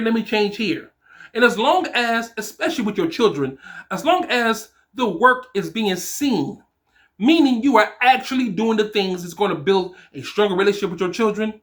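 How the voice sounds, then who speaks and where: A man's voice: high-pitched, bright, forceful tone. A man in his forties giving an informal, encouraging motivational talk to fathers, speaking emphatically as a coach. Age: 40s.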